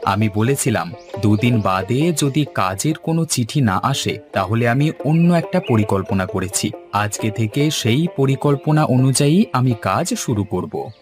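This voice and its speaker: A man voicing an earnest, energetic young village son and street hawker in a cartoon. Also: mid-range voice, measured pace, lightly acted. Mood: earnest, determined. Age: young adult. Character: eager, devoted young village son selling fried eggplant. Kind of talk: Bengali cartoon voice acting. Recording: music under the voice.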